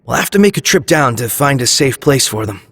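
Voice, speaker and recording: Husky, earnest young squad leader. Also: male voice, medium pitch, very animated, a little fast, a bit bright, in a large hall, heavily acted. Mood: resolute. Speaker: voice actor. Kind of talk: video game cutscene acting.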